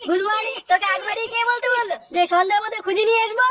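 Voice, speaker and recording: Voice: very high-pitched, fairly even intonation, very fast, very noisy. A performer voicing a bossy, hot-headed cartoon club chief who scolds in a comedy style. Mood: irritated.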